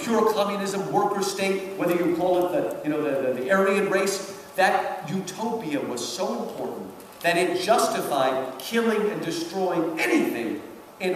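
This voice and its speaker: A man giving a live lecture with literary commentary, speaking emphatically and rhetorically and often checking in with his listeners. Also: a little high. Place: large reverberant hall at a public event. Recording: echoey hall from far off, noisy.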